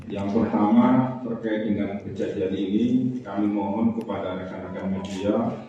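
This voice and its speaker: Male police spokesman, formal and deliberate, dark tone, lo-fi distant pickup. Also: on the low side. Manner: deliberate, repeats points for emphasis. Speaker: police public relations head. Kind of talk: formal press statement. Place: large hall at a press conference. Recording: echoey hall from far off, dull lo-fi.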